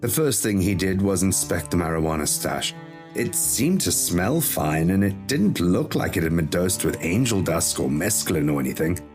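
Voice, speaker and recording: A man's voice: sits low, animated, moderate pace, darkish tone, faint music behind. A man reading a scripted short story as a dramatic audio narrator.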